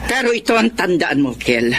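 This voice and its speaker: A woman's voice: lowish voice, sing-song, moderate pace, a little hiss or hum. A woman with a Filipino accent playing a stern older sister, reproachful and emphatic, in a radio drama. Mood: grave warning. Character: stern older sister scolding her wayward younger brother.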